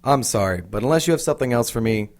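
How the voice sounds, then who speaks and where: A mid-pitched male detective played by an actor in a supernatural radio play. He is plainspoken and doubting, with highly animated intonation. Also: quick pace, lightly acted. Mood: skeptical. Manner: matter-of-fact, doubting. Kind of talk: audio drama acting.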